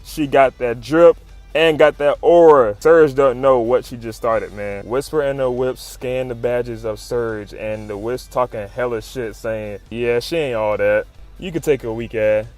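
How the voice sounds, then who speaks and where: A man narrates in a casual hype style, full of slang, swearing and fannish exclamations. His pitch sits in the middle range, and music plays faintly behind him as he recaps. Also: a little slow, a little mellow. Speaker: YouTube comic recap narrator. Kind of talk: casual hype commentary, retelling comic fights. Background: music under the voice-over.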